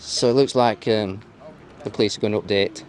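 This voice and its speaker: A man giving running commentary as he films a police encounter out on a street, quick and teasing in manner. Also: lowish voice, fairly even intonation. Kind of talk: live running commentary while filming. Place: outdoors on a busy street.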